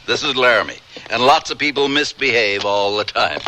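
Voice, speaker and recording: An actor playing a nosy, wry frontier reporter with a folksy, glib manner in a radio western. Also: male voice, medium pitch, moderate pace, pushed and bright, a little hiss or hum, natural voice, low-quality recording. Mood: curious, reassuring. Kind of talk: old-time radio western acting.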